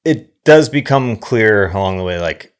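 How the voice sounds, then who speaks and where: A man with a low voice chats about movies as a podcast co-host. His delivery is thoughtful and halting as he feels his way through his reflections. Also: expressive, smooth. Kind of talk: casual film discussion.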